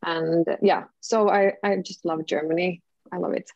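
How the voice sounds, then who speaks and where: A woman's voice: lowish voice, fairly even intonation, normal speed, darkish tone, no room sound. A woman in her thirties from Finland, a native Swedish speaker with a Finland Swedish accent, chatting casually as a singer guest on a video call, with many fillers. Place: home room, indoors. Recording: dull lo-fi video call audio.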